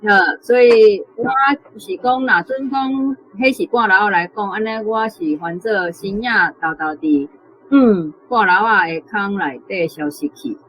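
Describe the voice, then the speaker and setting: A woman's voice: mid-range voice, a little slow, a little hiss or hum, poor audio. A woman with a Taiwanese accent, a teacher explaining translations informally and tentatively, thinking aloud. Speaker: teacher giving on-site guidance.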